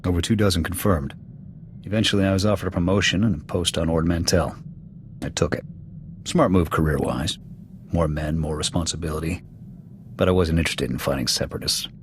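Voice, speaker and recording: A man playing a gruff veteran male sniper officer speaking in clipped, matter-of-fact reports in a video game. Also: sits low, a little fast, pauses often, slight background noise, close to an everyday voice. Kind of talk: video game cutscene acting.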